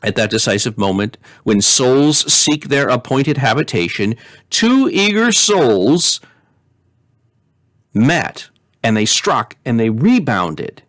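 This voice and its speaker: Storybook philosopher played by a man: flighty, excitable spiritualist, urgent emphatic declaiming, medium pitch, dry recording. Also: slow, no echo. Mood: zealous lecturing. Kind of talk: storybook character reading.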